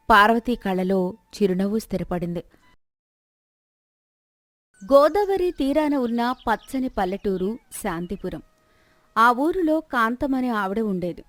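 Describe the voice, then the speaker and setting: A woman's voice: mid-range voice, no echo. A woman reading a scripted moral story as a storyteller.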